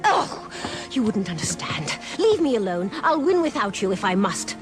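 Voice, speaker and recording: Woman as a proud, expressive young heiress. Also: medium pitch, normal speed, natural voice. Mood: hurt, angry. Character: proud, determined young heiress of a racing team. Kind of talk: video game voice acting. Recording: music behind the voice.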